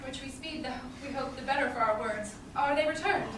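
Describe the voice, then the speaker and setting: A witty noblewoman of Belmont, played by a woman in a Shakespeare stage reading. Her voice is high and self-possessed. It is heard from far off in an echoey, noisy theatre. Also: a little fast, a bit bright. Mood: composed, wry. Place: small theatre stage, far audience camera.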